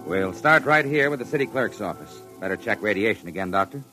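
Man playing a low-voiced, clipped spaceship captain. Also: lively intonation, brisk, music in the background, natural voice. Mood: wary. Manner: clipped, commanding. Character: commanding male spaceship captain, brisk and wary. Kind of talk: old-time radio drama acting. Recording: noisy old radio, ambient hum.

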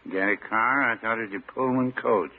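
An actor playing a droll, mild small-town American druggist in a classic radio comedy, talking in a dry, folksy way. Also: male voice, fairly low pitch, a little fast, lightly acted. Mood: dry teasing. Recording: tinny, noisy old radio recording.

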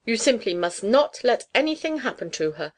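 A woman voicing a domineering, brisk older society aunt in a storybook, with an imperious, emphatic manner. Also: fairly high pitch, big pitch swings, voice pushed up. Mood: bossy. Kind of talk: audiobook character dialogue.

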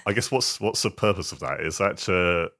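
A man's voice: low voice, normal speed, sharp tone. A man co-hosting a podcast, chatting casually about video games in a tentative, mild-spoken way. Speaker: podcast host.

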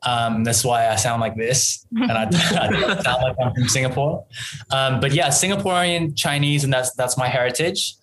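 A man's voice: medium pitch, moderate pace, fairly bright tone. An Australian man in his thirties speaks as a podcast guest, casual and informal. He talks in loose, hesitant phrases and often restarts them. There is some noise in the recording. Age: 30s. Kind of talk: casual conversational storytelling.

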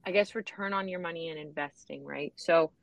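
Low-voiced woman host asking questions. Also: brisk, creaky, dry recording. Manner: casual, hesitant questions with fillers. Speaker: interview host. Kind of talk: interview questions.

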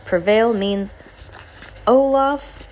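A woman's voice: on the high side, extremely slow, darkish tone, voice pushed up, rough recording. A woman voicing a scheming, greedy male villain and gang boss from a storybook, emphatic and self-satisfied. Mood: menacing.

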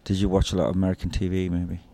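A man's voice: sits low, completely flat delivery, racing, mellow, no echo. An Irish man hosting a podcast, chatting loosely and rambling through stories before interviewing a guest. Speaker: podcast host. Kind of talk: casual rambling monologue and interview.

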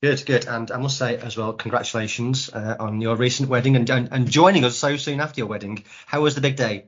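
Fast-talking male podcast host. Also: medium pitch, fairly even intonation, lo-fi sound. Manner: quick, chatty, stumbles and restarts. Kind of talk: interview hosting.

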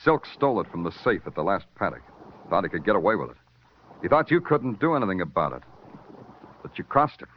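A hard-boiled, sardonic detective. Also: male voice, deep voice, sing-song, a little fast, long pauses, slight background noise. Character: tough, wisecracking police detective. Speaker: police detective and narrator. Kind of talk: 1940s radio acting. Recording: dull lo-fi old radio recording.

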